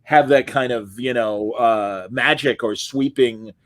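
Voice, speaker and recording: A man's voice: mid-range voice, measured pace, no echo. Enthusiastic male podcast host, dry sound. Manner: enthusiastic, chatty, lots of restarts. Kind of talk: casual interview conversation.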